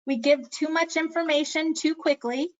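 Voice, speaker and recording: High-voiced woman giving care training. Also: muffled recording. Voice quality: high-pitched voice. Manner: earnest, instructive, self-aware about speaking fast. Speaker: memory care presenter. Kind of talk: scripted educational presentation.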